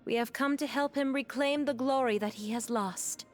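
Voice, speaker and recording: Woman acting a high-voiced, courteous young adventurer. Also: normal speed, close to an everyday voice. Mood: gracious. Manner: courteous, formal, storybook-like. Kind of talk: animated video game acting. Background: faint game music.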